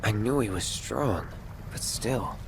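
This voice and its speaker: An actor playing a stoic, brooding young warrior in a video game, speaking in a terse, guarded way. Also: male voice, on the low side, slow pace, gravelly, noisy background. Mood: terse, serious.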